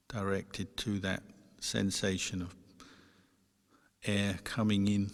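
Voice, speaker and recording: Slow, low-voiced man guiding meditation. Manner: measured, pausing between short phrases. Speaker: meditation teacher. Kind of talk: guided meditation instruction. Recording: long reverberant hall sound.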